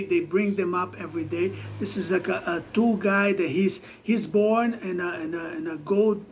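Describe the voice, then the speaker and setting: A man with a Brazilian accent and a voice set high for a man talks straight to his viewers. He rambles and halts, stumbling over restarts as he circles back to his points in a loose, casual monologue. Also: darkish tone. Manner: rambling, halting, many restarts. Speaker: vlogger.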